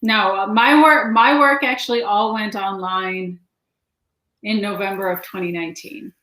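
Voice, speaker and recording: Bright-voiced Canadian woman host, echoey room. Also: medium pitch, steady tone, measured pace. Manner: chatty, trails off softly at sentence ends. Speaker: podcast host. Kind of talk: casual interview chat.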